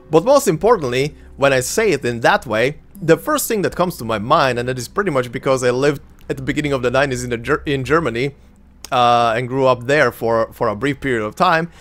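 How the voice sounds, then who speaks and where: A man in his thirties whose first language is Croatian hosts a video game let's play, talking loosely with plenty of fillers and restarts. His voice sits at a middling pitch. Faint game music plays quietly behind him. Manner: chatty, rambling, self-correcting with many fillers. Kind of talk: casual gameplay commentary.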